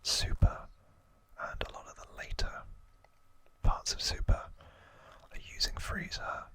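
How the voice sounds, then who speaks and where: A man hosting ASMR in a breathy, unvoiced whisper, reading and commenting in a hushed, deliberate way. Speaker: ASMR host.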